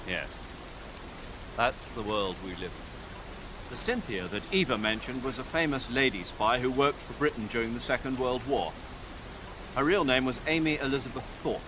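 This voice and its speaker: An actor playing a sombre, well-informed military adviser in a video game, giving briefings in a measured, grave way. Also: male voice, medium pitch, animated, background noise, lightly acted. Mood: informative.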